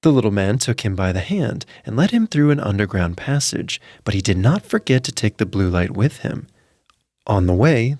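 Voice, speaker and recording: Male narrator for fairy tales: lowish voice, animated intonation, scripted delivery. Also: dry recording, clean studio sound.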